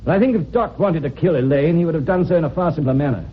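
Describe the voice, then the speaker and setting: An actor playing a shrewd, unhurried police inspector, measured and wry, in an old-time radio drama. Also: male voice, fairly high pitch, lively intonation, breathy edge. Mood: confident. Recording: dull, hissy old radio recording.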